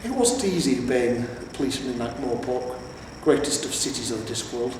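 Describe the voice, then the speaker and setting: A man's voice: medium pitch, expressive, fairly quick. Echoey, distant man reading a story. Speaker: amateur audiobook reader. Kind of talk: scripted story narration. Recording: roomy, echoing, distant mic with noise.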